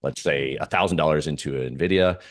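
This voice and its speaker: Very deep-voiced man talking markets. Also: brisk, no room sound, clean studio sound. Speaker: interview guest, markets analyst and trader. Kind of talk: casual market commentary.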